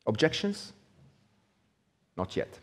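A middle-aged man giving a live talk, conversational, checking in with the audience with a frequent "okay?". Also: takes it slowly. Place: small indoor venue with brick wall.

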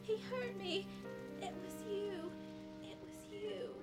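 An actress playing a young, mysterious femme fatale in a noir radio play. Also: female voice, extremely high pitch, slow, over loud music. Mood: frightened. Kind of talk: noir radio drama acting.

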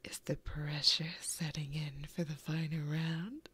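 A woman's voice: low-pitched, little pitch movement, fairly bright tone, exaggerated voice. A woman voicing a flirty, possessive female demon gambler in a whispered, teasing ASMR roleplay, close to a binaural microphone. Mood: taunting, flirty. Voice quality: breathy, whispered. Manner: slow, teasing, intimate.

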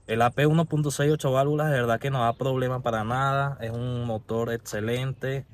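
A young man from Venezuela with a Venezuelan Spanish accent, talking casually to a phone camera from inside his parked car, relaxed and chatty. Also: mid-range voice, moderate pace. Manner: chatty, relaxed, repeats "de verdad" often. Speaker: vlogger. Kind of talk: casual vlog talk to camera. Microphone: phone held at arm's length.

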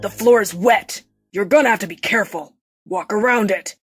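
A woman voicing a gruff, rule-obsessed brawny female guard in a fan cartoon, blunt and barking. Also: medium pitch, normal speed, faint music behind, close to an everyday voice. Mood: blunt, earnest.

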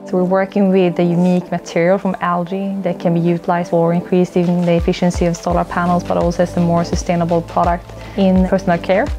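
A woman's voice: fairly low pitch, completely flat delivery, fast, hardly any pauses. A woman, earnestly explaining her company's work in a documentary interview, with frequent "uh" fillers, recorded on a lapel mic in a lab. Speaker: company founder and CEO. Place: indoor lab. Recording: music bed under the voice. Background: background music.

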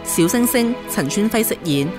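A woman's voice: mid-range voice, animated, moderate pace. A woman announcer delivering a scripted credits announcement. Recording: loud music under the voice.

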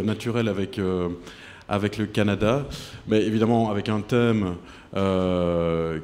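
A film producer answering at a press conference in a measured, businesslike way with fillers. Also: male voice, deep voice, a little mellow. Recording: reverberant hall, faint noise.

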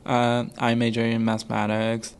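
A young man, an international student, telling a story on student radio with many pauses and hesitations. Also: medium pitch, completely flat delivery, smooth. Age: young adult. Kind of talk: casual student radio chat.

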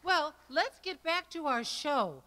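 A woman's voice: sits high, big pitch swings, slow pace, pushed and bright, in a large hall. A woman presenting a holiday puppet show to introduce the next part, with piano music behind her.

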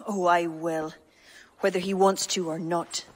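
A woman's voice: lowish voice, level tone. A woman playing a bitter, defiant druid who is fiercely protective of her people, in dramatic video game acting. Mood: bitter contempt. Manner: slow, weighty, dramatic delivery.

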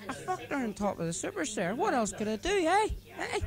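A man's voice: very high-pitched, very animated, a little fast, pitched above the performer's own voice, heavily acted. A man doing a cackling drunk cop. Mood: rowdy leering. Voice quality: cackling, leering, shouting. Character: drunk rowdy young male policeman. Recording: crowd noise behind.

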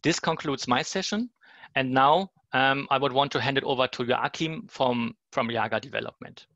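A man's voice: medium pitch, moderate pace, a bit bright, no room sound, highs cut off. A man hosting an online seminar, wrapping up his part and handing over to the next speaker. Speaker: seminar host from the cloud company.

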